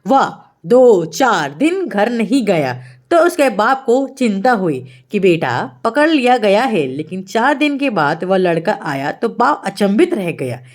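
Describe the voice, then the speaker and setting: A woman's voice: medium pitch, very animated, a little slow. A woman storyteller reading a scripted moral tale as narration.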